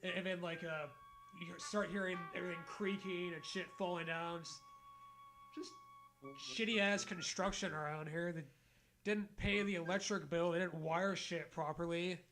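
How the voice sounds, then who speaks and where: A man's voice: sits high, steady tone, a little slow, a bit bright, faint music behind, poor audio. A man co-hosting a comic read-aloud of fan fiction, breaking into sarcastic, sweary casual banter. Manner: sarcastic, profane riffing.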